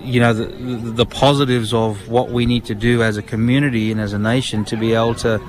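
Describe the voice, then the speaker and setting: An Australian man answering interview questions earnestly, halting with many fillers and restarts, recorded outdoors at a public event. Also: mid-range voice, little pitch movement. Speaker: guest, national committee member. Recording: outdoor event with crowd murmur.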